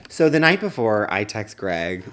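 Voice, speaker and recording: Low-voiced man telling a funny story. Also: big pitch swings, unhurried, a bit bright. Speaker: podcast co-host. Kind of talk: casual comedic storytelling chat.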